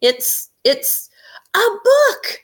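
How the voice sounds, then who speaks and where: A woman acts out an excited little girl from a storybook. The voice jumps up high, eager and exclaiming, as in a children's read-aloud. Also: drawn-out words, full character voice. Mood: amazed. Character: excited young girl in a picture book.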